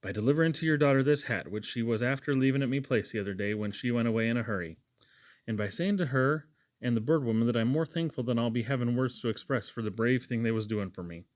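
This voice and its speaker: A man's voice: mid-range voice, fast talker, no room sound, lightly acted. Man playing an earnest young lad. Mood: earnest, proud. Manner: earnest, courteous, direct. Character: earnest, proud, polite young Irish lad.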